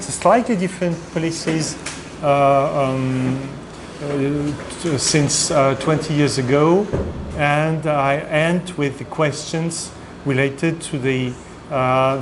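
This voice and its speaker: A man giving a guest lecture, hesitant and full of fillers and restarts, in a room with air conditioning hum. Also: on the high side. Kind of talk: academic lecture.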